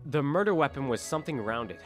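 A man's voice: medium pitch, expressive, fairly quick, faint music behind, lightly acted. A man playing a determined defense attorney in an audio drama, pointed and argumentative. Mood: pointed, confident.

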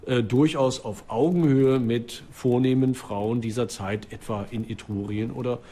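A man speaking as an archaeologist in an interview, explaining off the cuff with halting, filled pauses. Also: mid-range voice, fairly even intonation, dark tone.

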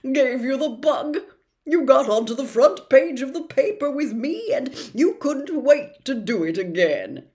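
A woman's voice: high-pitched, very animated, a little slow, darkish tone, voice pushed up. A woman voicing a vain, smug male celebrity wizard professor in a storybook reading, gushing and condescending. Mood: patronizing.

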